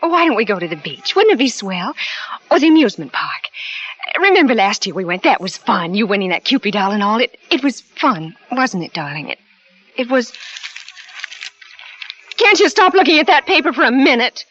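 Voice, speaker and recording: A woman's voice: a little high, very animated, normal speed, fairly bright tone. An actress playing an anxious, devoted young wife in a 1940s radio drama, speaking tenderly and pleading with her husband. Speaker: narrator and wife. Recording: dull old radio broadcast with faint music.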